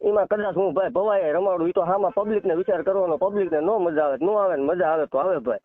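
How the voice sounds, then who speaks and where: A man speaks in a high, rapid voice over a thin, tinny phone line. His Gujarati has a Saurashtra accent, and he argues defensively, insisting and interrupting as he goes. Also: dry recording. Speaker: shrine keeper answering a caller. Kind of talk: heated phone argument. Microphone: telephone. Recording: tinny narrow-band phone call recording.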